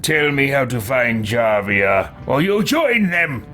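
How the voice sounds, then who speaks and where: A man's voice: mid-range voice, very animated, takes it slowly, over music, pitched below the performer's own voice. A man voicing an imperious, destructive fantasy mage in a pompous, theatrical manner for a game role-play. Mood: menacing glee.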